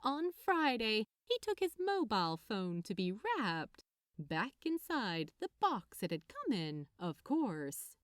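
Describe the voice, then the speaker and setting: A woman reading a story aloud as narrator, in an expressive scripted style. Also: medium pitch, slow pace, no echo, studio quality. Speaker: narrator, fan fiction reader.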